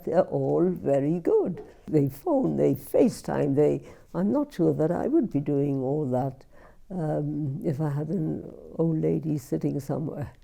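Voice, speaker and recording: An older woman with a Welsh accent, recalling her family in an interview, often halting and repeating words as she speaks. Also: low-pitched, lively intonation, vocal fry, mellow. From Wales. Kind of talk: reminiscing interview.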